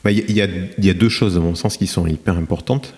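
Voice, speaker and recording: A man's voice: low-pitched, fast, a little mellow, a little hiss or hum. A middle-aged French man talking about his career in a podcast interview, in a hesitant way with many fillers and restarts. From France. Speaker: guest, cement plant director. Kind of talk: podcast interview, reflective storytelling.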